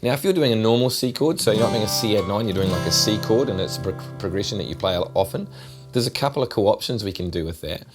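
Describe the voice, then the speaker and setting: A middle-aged man with a New Zealand accent, giving a relaxed, chatty guitar lesson. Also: fairly low pitch, fast. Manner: relaxed, chatty, repeats himself while demonstrating. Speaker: guitar teacher. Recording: loud guitar over the voice. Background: acoustic guitar playing.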